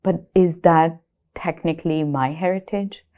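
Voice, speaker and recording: A woman from Pakistan speaks in a low, dark voice. She is thoughtful and questioning, often restarting as she works through ideas. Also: fairly even intonation, a little slow. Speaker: manuscript painter. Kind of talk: documentary interview.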